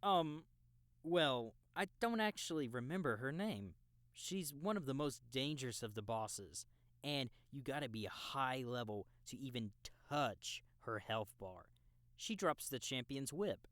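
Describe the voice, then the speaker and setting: A man voices a young male gamer guide in an audiobook drama. He is cocky and casual, with slangy, teasing talk. His delivery is slow and clean, recorded dry and close. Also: medium pitch, animated, fairly bright tone, dry recording. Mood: amused, casual. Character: cocky, laid-back young male gamer guiding a newcomer. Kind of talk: audiobook character dialogue in a game-world story.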